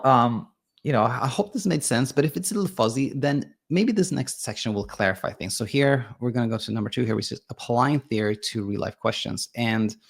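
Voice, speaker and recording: A man's voice: medium pitch, vocal fry. A man whose first language is Swedish, working as a sleep coach, explaining ideas in a hesitant way with many restarts and fillers. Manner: reads viewer comments aloud. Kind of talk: explanatory monologue.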